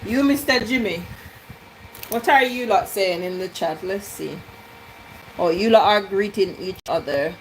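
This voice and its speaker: Woman, teasing, slow unhurried talk, home kitchen, faint background hum. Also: medium pitch, poor audio. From Jamaican heritage. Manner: playful, teasing, joking with chat. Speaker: cooking livestream host. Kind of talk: casual live cooking chat, reading out viewer comments.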